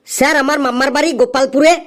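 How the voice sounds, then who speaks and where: Cartoon schoolboy: very high voice, cheeky and crafty, sing-song classroom recitation. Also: male voice, fairly even intonation, quick pace, fairly bright tone. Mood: cheeky, eager. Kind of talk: comedy cartoon voice acting.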